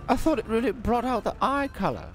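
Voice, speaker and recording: A woman's voice: fairly high pitch, big pitch swings, brisk, faint music behind. A woman voicing a genteel, easily scandalized elderly lady who calls people dear and talks in a fussy, quavering way. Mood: prim. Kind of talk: roleplay acting.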